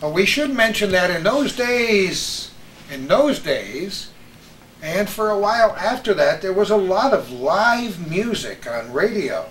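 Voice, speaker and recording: A man's voice: high voice, big pitch swings, unhurried, fairly bright tone. An older man hosting a casual chat of reminiscences among friends. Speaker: host of a reminiscence show. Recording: roomy, distant, faint background noise.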